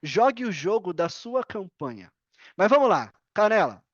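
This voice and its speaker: A Brazilian woman talks casually as a podcast guest, opinionated and emphatic. She repeats her key points and answers her own questions. The sound is close and dry, a little muffled, at an average pitch. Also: big pitch swings, normal speed, no room sound. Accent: Brazilian Portuguese. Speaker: podcast guest, affiliate marketer. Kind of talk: casual podcast talk, explaining with examples.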